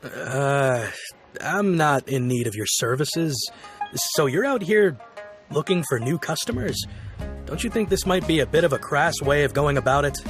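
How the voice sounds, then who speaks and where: A man's voice: a little high, lively intonation, normal speed, faint music behind. Curt busy man. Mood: impatient. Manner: curt, dismissive. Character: busy, impatient adult man. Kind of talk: game cutscene voice acting.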